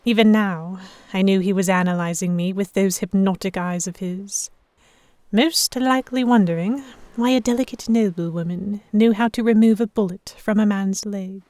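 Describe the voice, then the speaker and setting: Audio drama heroine, performed by a woman: delicate noblewoman telling her story, emotive, medium pitch, very dry recording. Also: fairly even intonation, moderate pace, darkish tone, dry recording, natural voice. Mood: observant, uneasy. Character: delicate young noblewoman, vexed, earnest, stubborn romantic heroine. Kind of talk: first-person dramatic audiobook narration.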